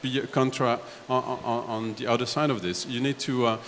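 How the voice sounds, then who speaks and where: A man from Belgium on a discussion panel, laying out his points one step at a time in a halting way. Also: mid-range voice, fairly even intonation, fairly bright tone. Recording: echoey hall, faint background noise.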